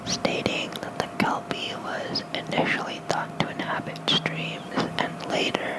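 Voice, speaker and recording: An ASMR creator softly reading folklore aloud in a hushed, unhurried bedtime whisper.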